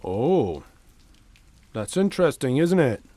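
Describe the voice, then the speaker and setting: Inquiring village constable for radio play, medium-pitched, dry studio recording. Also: male voice, sing-song, slow pace, warm tone, no room sound. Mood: inquisitive. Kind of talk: radio drama acting.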